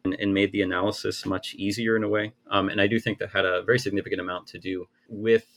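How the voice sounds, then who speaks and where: A man in his thirties, an author answering interview questions in a measured, hedging academic way with frequent restarts. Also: lowish voice, flat delivery, fairly bright tone. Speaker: author and researcher.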